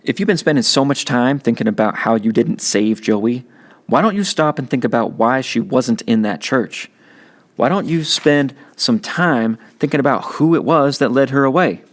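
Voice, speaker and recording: A man's voice: mid-range voice, normal speed, pitched above the performer's own voice, close to an everyday voice. A man voicing a tough, streetwise teenage older brother who lectures bluntly in slang. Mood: pressing. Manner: scolding, slangy, forceful lecturing.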